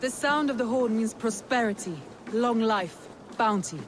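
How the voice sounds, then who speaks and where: A woman voicing a proud, wary Viking clan leader in a video game, with deliberate, solemn delivery. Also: fairly high pitch, a little hiss or hum, lightly acted. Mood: triumphant, commanding. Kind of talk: cinematic video game acting.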